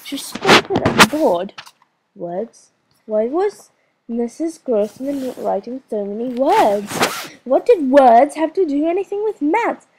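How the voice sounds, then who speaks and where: A woman narrating a children's storybook in a slow, expressive reading with lively emphasis. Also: high voice, slight background noise, low-quality recording. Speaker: audiobook narrator.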